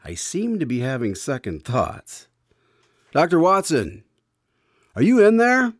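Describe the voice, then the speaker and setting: A man's voice: on the high side, huge pitch swings, unhurried, dry recording, studio quality, full character voice. A man playing a polite, somewhat nervous radio presenter who hosts a drama in announcer style. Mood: nervous, curious. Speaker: announcer and host. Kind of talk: old-time radio show presenting.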